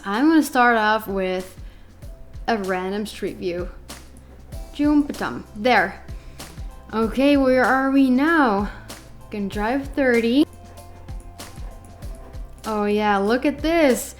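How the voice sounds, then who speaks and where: Cheerful woman, brightly pitched. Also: slow, long pauses, faint music behind. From Netherlands. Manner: cheerful, playful, chatty. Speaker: geography vlogger. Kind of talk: upbeat video narration. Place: living room, seated on a sofa. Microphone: handheld recorder near camera.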